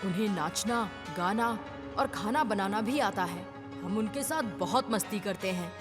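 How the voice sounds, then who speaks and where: A woman's voice: medium pitch, unhurried, lightly acted. A woman voicing a cheerful, food-loving young boy in a dubbed children's cartoon, eager and wide-eyed, with music playing behind. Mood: cheerful storytelling. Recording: clear music bed behind the voice.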